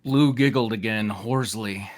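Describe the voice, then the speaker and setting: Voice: mid-range voice, moderate pace. A person reading a story aloud to friends in a mock-dramatic way, breaking off into amused asides. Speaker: narrator reading fan fiction aloud. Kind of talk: comedic group read-aloud, often breaking into laughter.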